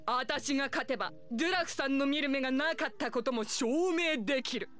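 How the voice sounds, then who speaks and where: A woman playing a proud, feisty village meat chef in an anime-style game, brisk and self-assured. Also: high-pitched, fairly bright tone, faint music behind. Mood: challenging.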